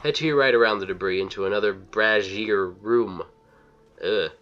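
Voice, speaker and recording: A man's voice: lowish voice, fairly quick, sharp tone. A man giving casual, scripted video game walkthrough commentary in a blunt, wry manner, on a slightly dull recording.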